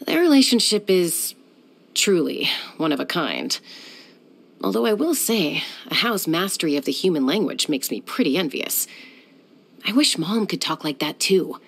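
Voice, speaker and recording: An actress voicing a cool, confident young woman who is a sky-hunter and peacemaker in a video game, speaking in a calm, composed, measured way. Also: low voice, creaky, husky, exaggerated voice. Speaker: game character.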